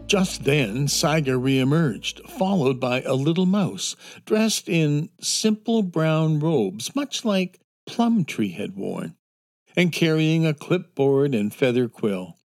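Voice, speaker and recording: Slow expressive storybook narrator, man. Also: fairly high pitch, no room sound, studio quality, soft background music.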